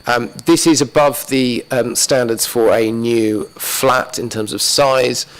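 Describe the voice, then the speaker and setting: A man presenting a planning application to a council committee, talking methodically through slides while losing his voice and clearing his throat. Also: mid-range voice, fairly even intonation, takes it slowly, a bit bright, poor audio. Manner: methodical, many fillers and restarts, talks through slides. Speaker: planning officer. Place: council chamber.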